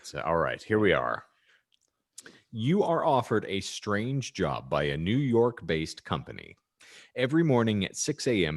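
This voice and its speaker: A male podcast host with a fairly low voice. His intonation moves around a lot as he chats and reads aloud in a dry, mock-formal manner. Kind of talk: casual comedic chat, reading out a hypothetical.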